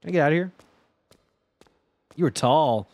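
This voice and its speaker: A young man in his twenties streaming a horror game from home on a headset mic, joking loudly and swearing as he talks to chat. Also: on the high side, moderate pace, no echo, highs cut off. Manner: loud, swearing, joking, animated. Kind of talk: casual gameplay commentary with chat. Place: home gaming room, indoors.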